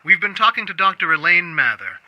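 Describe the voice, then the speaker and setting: An actor playing a skeptical paranormal podcast host, measured and probing, with a dry, weary streak. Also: male voice, high-pitched, sing-song, highs cut off. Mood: measured, inquisitive. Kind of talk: audio drama, scripted interview hosting.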